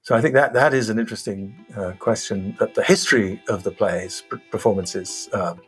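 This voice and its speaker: A man's voice: on the low side, a little fast, breathy edge, soft background music. A man in an analytical discussion, feeling his way hesitantly toward precise phrasing. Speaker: theater discussion panelist.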